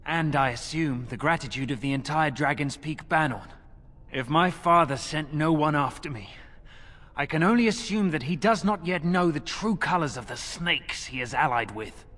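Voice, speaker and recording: A proud young noble son from a fantasy game, with a fairly high voice. He speaks in lofty, formal phrases that ring through a long hall reverb. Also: a bit bright. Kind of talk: video game cutscene acting.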